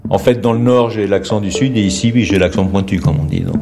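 A man's voice: on the low side, fast talker, vocal fry, over loud music. A man in an interview, speaking frankly and plainly.

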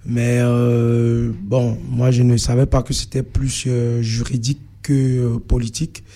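A young man with a Cameroonian accent giving a personal account as an interview guest, speaking haltingly with many filled pauses. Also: medium pitch, completely flat delivery, warm tone. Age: young adult. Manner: hesitant, halting delivery with frequent filled pauses.

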